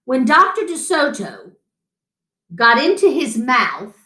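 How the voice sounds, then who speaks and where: A woman's voice: high voice, very animated, slow pace, bright, forceful tone. A middle-aged woman reading a children's picture book aloud as a story time host, in a room at home. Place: small room at home, indoors. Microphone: camera mic a few feet away. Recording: roomy echo.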